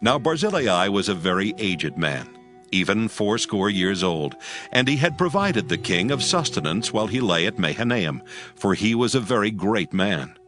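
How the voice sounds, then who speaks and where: Low-voiced, expressive male scripture narrator. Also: moderate pace, faint music behind.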